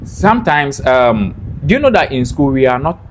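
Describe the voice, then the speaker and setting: A man's voice: mid-range voice, big pitch swings, moderate pace, noisy background. A man in a casual discussion, speaking emphatically and repeating phrases to drive points home. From Africa. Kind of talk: casual two-person discussion.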